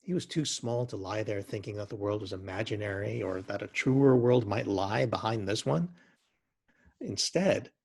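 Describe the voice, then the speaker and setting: A man giving a dramatic reading of literary fiction, with measured, expressive and lyrical phrasing. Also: mid-range voice, creaky, husky.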